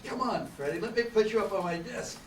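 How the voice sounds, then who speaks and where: A woman's voice: mid-range voice, lively intonation, a little fast, close to an everyday voice. A woman playing a mild-mannered, friendly male newspaper reporter in an amateur radio drama. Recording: distant echoey room, faint noise.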